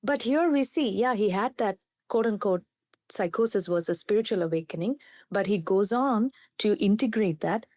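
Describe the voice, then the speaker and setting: A woman in her fifties with an Indian English accent, her first language Telugu, speaks as a psychiatrist guest. She tells her story in an earnest, reflective way. Her voice sits at a middling pitch and sounds dry and close, with no room echo. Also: expressive, moderate pace, dark tone. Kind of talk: storytelling in a podcast interview.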